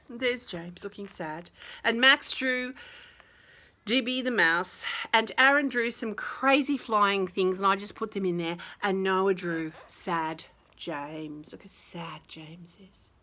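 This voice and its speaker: A woman reading a picture book to young children with chatty, gushing commentary and expressive, exaggerated emphasis. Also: mid-range voice, takes it slowly. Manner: gushing, enthusiastic, talking to small children. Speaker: children's storyteller and host.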